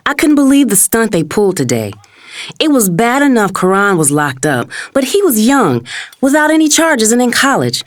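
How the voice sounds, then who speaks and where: Actress as low-voiced, indignant mother. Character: indignant, protective mother of grown children. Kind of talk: first-person dramatized audiobook narration.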